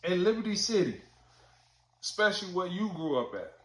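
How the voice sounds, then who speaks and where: Bright-voiced man hosting, in an echoey room. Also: high-pitched, big pitch swings, normal speed. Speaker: interview host. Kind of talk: casual interview.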